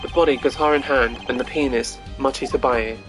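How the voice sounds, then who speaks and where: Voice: medium pitch, steady tone, a little fast, faint music behind, natural voice. A streamer voicing an earnest, hesitant young male defense attorney in a video game, wry and unsure. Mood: somber. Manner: unsure, wry, narrating thoughts. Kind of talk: amateur voice acting of a visual novel game.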